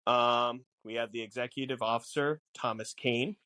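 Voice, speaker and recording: A man co-hosting a casual film discussion podcast, explaining things in a measured way. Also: mid-range voice, steady tone, pushed and bright. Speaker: podcast co-host.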